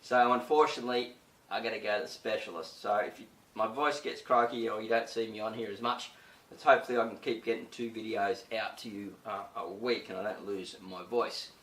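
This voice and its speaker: A man hosting a casual gardening tutorial, chatty and full of fillers, his voice slightly croaky. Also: fairly low pitch, steady tone, fairly quick, a bit bright, echoey room, distant mic, poor audio. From Australia. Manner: chatty, many "uh" and "you know" fillers. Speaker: gardening channel host.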